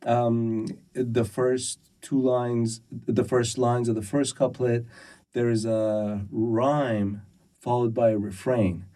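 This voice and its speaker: A man's voice: medium pitch, flat delivery, takes it slowly, dark tone, far from the mic. A man being interviewed about his poetry and translation work. He talks thoughtfully and with some hesitation, using many fillers and restarts. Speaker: poet and translator, interview guest. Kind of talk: relaxed conversational interview.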